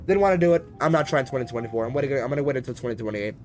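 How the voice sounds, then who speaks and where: A man's voice: medium pitch, expressive, very fast, darkish tone, faint music behind. A man chatting casually as he live-streams a flight simulator, in a rambling, slangy, conversational way. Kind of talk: casual live-stream chat while gaming.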